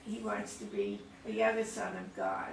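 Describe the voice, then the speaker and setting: A woman commenting informally in a group discussion, speaking in a halting, thoughtful way. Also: very deep voice, very animated, vocal fry. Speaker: audience member commenting. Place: room at a live play reading. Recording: roomy distant mic, faint noise.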